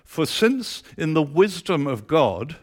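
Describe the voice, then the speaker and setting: A man preaching to a church congregation, mixing dry teasing humour with steady scripture reading. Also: a little high, slow pace. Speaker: guest preacher. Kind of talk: sermon. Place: church auditorium.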